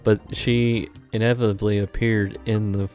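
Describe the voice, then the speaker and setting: A young man from Indiana with a lowish, flat voice co-hosts a casual podcast, rambling loosely and filling his sentences. Faint music sits quietly underneath. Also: normal speed. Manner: rambling, lots of "like" fillers. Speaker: podcast co-host. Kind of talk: casual chat.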